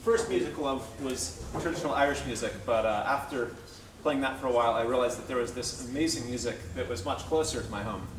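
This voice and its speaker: A man's voice: mid-range voice, fast talker, background noise. A man, a folk musician and flute maker, casually explaining a style of music on stage, thoughtful with some fillers. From Virginia, United States. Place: live music venue. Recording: echoey room, distant mic.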